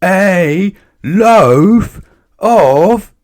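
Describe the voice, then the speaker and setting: A man's voice: sits high, expressive, pitched above the performer's own voice, heavily acted. A man voicing a very noisy male storybook character who bellows and drags out his words. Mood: roaring. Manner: shouting, drawn-out bellowing.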